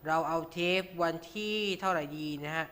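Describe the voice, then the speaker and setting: A man's voice: fairly high pitch, steady tone, moderate pace, fairly bright tone, smooth. A man hosting an informal tutorial, talking through each step with frequent polite particles. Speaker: radio show host.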